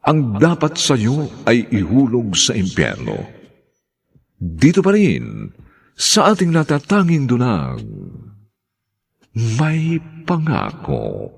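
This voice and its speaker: Guy announcer for a radio drama, slow n theatrical, raspy creaky voice, huge pitch swings. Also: huge pitch swings. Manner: theatrical, deliberate. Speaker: narrator and announcer.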